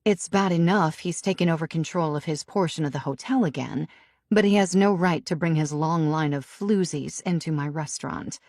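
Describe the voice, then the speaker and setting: A woman narrating a romance audiobook in first person with an expressive, emotionally charged reading. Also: sits low, normal speed, dry recording, studio quality.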